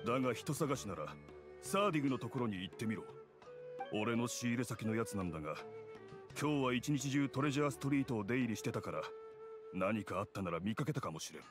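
A gruff, helpful middle-aged blacksmith played by a man talks bluntly in a medium-pitched voice with lively movement. It is anime game dialogue over clear music. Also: natural voice. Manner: blunt, matter-of-fact. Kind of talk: Japanese anime game voice acting.

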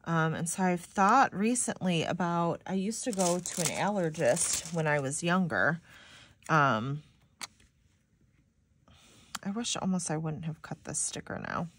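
A woman's voice: on the low side, lively intonation, a little slow, pauses often, a bit bright, a little hiss or hum. A young woman with a slightly stuffy, nasal voice, rambling casually to viewers while decorating a planner. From Michigan. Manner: rambling, chatty, many "like"s, talks to viewers. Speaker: planner vlogger.

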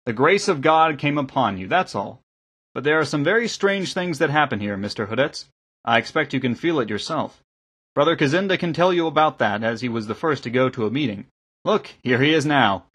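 A man's voice: mid-range voice, expressive, fairly quick, breathy edge, voice pushed up. A man voicing a kindly, eager engineer and lay preacher in an audiobook, with a hearty, welcoming manner. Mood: earnest. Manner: hearty, welcoming, gently correcting. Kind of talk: audiobook character acting.

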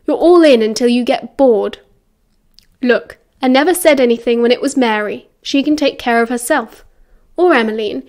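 A woman's voice: sits high, darkish tone, lightly acted. A woman voicing an indignant teenage schoolboy in a story, bluntly scolding his best friend with British slang. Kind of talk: dramatised story reading.

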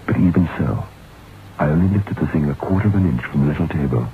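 An actor playing a troubled, curious writer who recounts his dread in a deliberate, suspenseful old-time radio horror monologue. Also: a bass voice, expressive. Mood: uneasy. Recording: muffled, noisy vintage radio recording.

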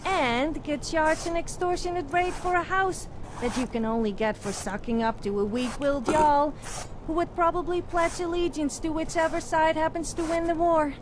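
High-voiced sardonic female fantasy companion played by an actress. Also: normal speed, noisy background, lightly acted. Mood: scornful, grumbling. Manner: sardonic, complaining. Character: disgruntled sharp-tongued female companion in fantasy game. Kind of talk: scripted game dialogue.

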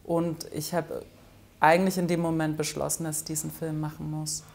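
A middle-aged woman from Germany speaks in a slightly low voice. She answers interview questions as a filmmaker, thoughtful and hesitant at times. The sound is from a camera close by, indoors. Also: little pitch movement, normal speed, creaky, darkish tone. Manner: thoughtful, with frequent hesitation fillers. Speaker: film director. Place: indoors in front of an orange wall.

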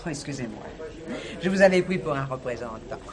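Sharp-tongued wife with animated delivery. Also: female voice, a bass voice, a little fast, a crowd in the background. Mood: polite. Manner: gossipy, disdainful, bossy with staff. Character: sharp-tongued, resentful merchant's wife, middle-aged. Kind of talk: French radio crime drama acting.

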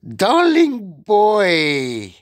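A narrator voicing a gleeful, evil female witch in a storybook, with a theatrical, drawn-out, gloating delivery. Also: mid-range voice, wildly swooping pitch, no echo, voice pushed up, heavily acted. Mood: syrupy, cooing.

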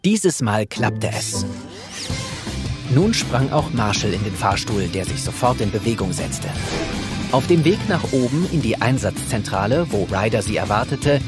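Male narrator, children's audio play, animated delivery, medium pitch, music behind. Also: a little slow.

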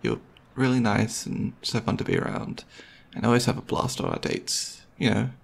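Husky, creaky young boyfriend, played by a man. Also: fairly low pitch, wildly swooping pitch, moderate pace, full character voice. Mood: nervous, tender. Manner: hesitant, soft-spoken, conversational, with mumbled asides. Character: gentle, nerdy, considerate young boyfriend on movie date. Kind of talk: intimate ASMR boyfriend roleplay.

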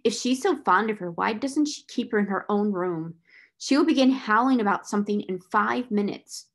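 A woman's voice: mid-range voice, lively intonation, moderate pace. Woman acting a haughty, spiteful schoolgirl. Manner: scornful, sharp-tongued.

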